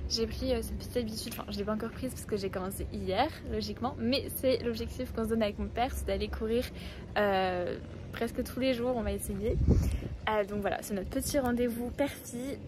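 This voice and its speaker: A young woman talking casually to her viewers, her voice sitting a little high. She is chatty and self-mocking, quick to laugh at herself. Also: steady tone, background noise. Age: young adult. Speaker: vlogger. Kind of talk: casual study-with-me vlog chat.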